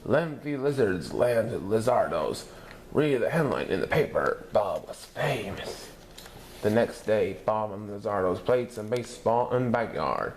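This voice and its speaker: A man reading a children's picture book aloud in an animated storytelling manner, putting on voices for characters. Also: lowish voice, moderate pace, a little hiss or hum. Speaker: story reader.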